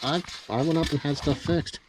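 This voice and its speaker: A man's voice: on the high side, normal speed. A man in casual, plainspoken conversation as a vlog host, sounding amazed. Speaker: vlog host visiting a radio collector. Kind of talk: casual chat. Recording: clear ambient and vehicle noise.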